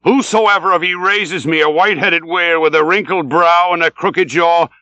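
A man's voice: fairly high pitch, steady tone, moderate pace, bright, forceful tone, no room sound, pitched above the performer's own voice. A man voicing an old, obsessed whaling captain in an audiobook, fierce and commanding, with vehement, archaic, declamatory speech. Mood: commanding, excited.

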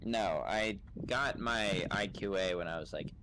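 A man's voice: lowish voice, measured pace, natural voice. A man playing an easygoing, laconic sniper in his late twenties, answering hesitantly in an improvised game roleplay. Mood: laid-back. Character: easygoing, laconic 27-year-old male sci-fi sniper. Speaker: Star Wars roleplay player. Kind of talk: improvised in-character gaming chat. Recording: noisy gaming voice chat.

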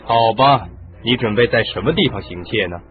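A man's voice: on the low side, moderate pace, soft background music, close to an everyday voice. An actor playing a firm, skeptical male police inspector in a radio drama. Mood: businesslike. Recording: muffled phone-like radio recording.

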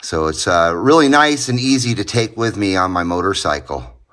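A man's voice: deep voice, lively intonation, moderate pace, fairly bright tone, dry recording, clean studio sound. A middle-aged man giving a casual, enthusiastic hands-on demo in an informal way, as host of a hobby channel. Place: small indoor garage, partly from inside a car.

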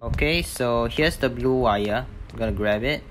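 A man's voice: mid-range voice, moderate pace, faint music behind. A man giving a casual, step-by-step video game walkthrough in an informal, somewhat hesitant way. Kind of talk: casual game tutorial commentary.

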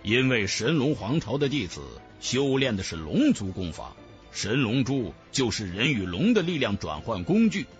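A man voicing a calm, self-assured young male hero in a fantasy audiobook, speaking in a measured, knowing way. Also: soft background music, close to an everyday voice. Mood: explaining. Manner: low-key, measured, cool. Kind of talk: fantasy audiobook character acting.

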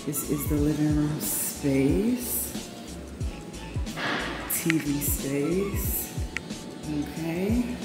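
Low-voiced woman in an echoey empty apartment. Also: lively intonation, drawn-out words, long pauses. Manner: chatty, upbeat, says "boom" and "period" a lot. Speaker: vlogger. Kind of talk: casual apartment tour vlog. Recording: echoey empty room with music behind. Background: background music.

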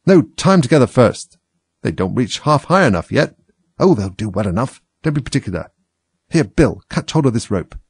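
A man voicing squabbling rustic animal workmen who bark brisk instructions in a storybook. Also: medium pitch, big pitch swings, slightly rough, dry recording, pitched above the performer's own voice. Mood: bustling, bossy. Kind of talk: storybook character voice.

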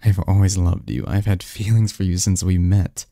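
A man acts out a lovestruck young man in an intimate ASMR romance. His voice is lowish, very dark and soft, with a husky grain. He murmurs haltingly, stammering through his confession, close and dry. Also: flat delivery, dry recording. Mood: earnest, pleading. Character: lovestruck young male research assistant confessing to his best friend. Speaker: audio roleplay performer.

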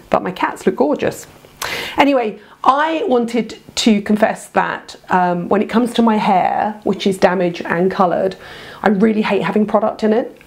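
Stuffy-nosed woman with a gravelly voice. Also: lowish voice, darkish tone. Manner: chatty, gushing, with many ums. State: congested from a head cold. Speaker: beauty vlogger. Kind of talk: casual to-camera product review. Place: home room, indoors.

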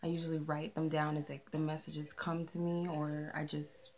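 A woman with a soft, mellow voice giving a casual channeled tarot reading, chatty and rambling with many fillers. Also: sits low, flat delivery, moderate pace, slight background noise. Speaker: tarot reader. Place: candlelit room with a tarot table. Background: R&B music playing.

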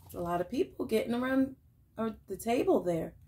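A woman's voice: a little high, animated, brisk, warm tone, slightly rough. A woman in her forties reading a picture book aloud expressively to young listeners. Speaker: children's storytime reader. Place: small room at home, indoors.

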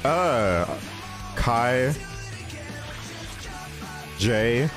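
Animated young man thinking aloud. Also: medium pitch, drawn-out words. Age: young adult. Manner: slangy, thinking aloud, repeating himself. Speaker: reaction video host. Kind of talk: casual reaction commentary. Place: indoor room. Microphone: large microphone close to mouth. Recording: music and show audio behind.

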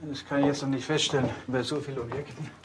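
An actor playing a polite, educated museum director, speaking formally and precisely in a TV crime drama. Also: male voice, mid-range voice, steady tone, quick pace, noisy background, close to an everyday voice. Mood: explanatory. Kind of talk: television crime comedy acting.

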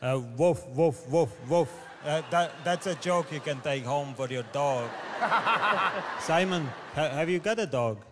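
A man from Latvia with a Latvian accent, telling hesitant, stammering deadpan jokes on a theatre stage into a handheld mic. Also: on the high side, a little slow. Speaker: comedian contestant. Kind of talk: stand-up comedy audition. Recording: reverberant theatre hall, audience noise.

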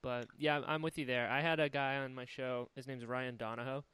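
A young man from North Carolina hosting a podcast, upbeat and quick to laugh, often repeating his words. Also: mid-range voice, flat delivery, fairly bright tone, smooth. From North Carolina, USA. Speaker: podcast host. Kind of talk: casual podcast chat.

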